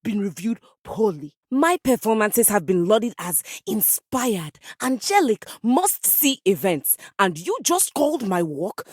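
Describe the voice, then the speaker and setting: A woman's voice: mid-range voice, big pitch swings, measured pace, hardly any pauses, a little mellow, husky, no room sound, clean studio sound. A woman playing a passionate piano come to life in a video game, a haughty, dramatic composer with florid, formal diction. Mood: offended, indignant. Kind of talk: video game character voice acting.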